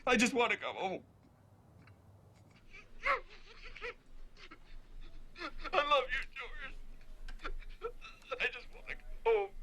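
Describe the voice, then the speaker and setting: A woman's voice: fairly high pitch, sing-song, normal speed, a bit bright. An actress playing a lonely, homesick, guilt-ridden woman, pleading and repeating herself in a film drama. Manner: pleading, repetitive.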